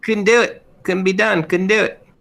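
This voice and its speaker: A man playing a tabletop game, excitable and tripping over his words. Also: sits high, moderate pace, vocal fry, a loud crowd. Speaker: player. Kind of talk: casual chat.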